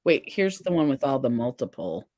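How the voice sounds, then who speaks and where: A woman's voice: extremely low pitch, big pitch swings, moderate pace, darkish tone, dry recording, clean studio sound. A woman hosting a casual livestream, chatting and reading viewer comments aloud. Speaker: livestream face paint host.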